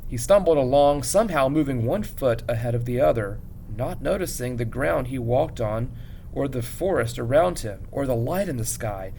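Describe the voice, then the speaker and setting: A man reading a story aloud as a teacher would, in an expressive, measured narration with dramatic pauses. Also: mid-range voice, creaky, a little hiss or hum, poor audio.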